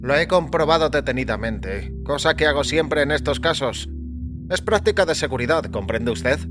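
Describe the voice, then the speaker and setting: Man voicing a detached doctor, raised pitch, music behind. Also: animated, normal speed, natural voice. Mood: clinical, matter-of-fact. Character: calm, self-assured male doctor, clinically detached with patients. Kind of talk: audio fiction acting.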